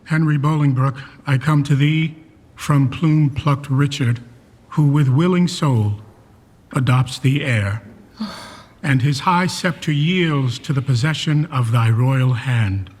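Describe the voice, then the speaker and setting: A solemn noble herald in Shakespearean radio drama. His husky, mid-pitched voice proclaims with slow ceremony. A long reverb follows his words. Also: darkish tone. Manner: ceremonious, weighty. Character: solemn noble messenger proclaiming a new king.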